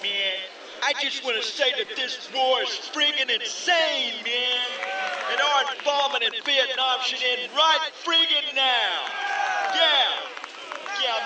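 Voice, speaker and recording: A spaced-out hippie radical preaches in slangy, fervent bursts in an audio drama. The voice is very high and sounds distant and echoey amid a loud, noisy outdoor crowd. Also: male voice. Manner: slangy, impassioned, declaiming to a crowd. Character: spaced-out sixties male hippie antiwar radical. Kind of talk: comic audio drama acting.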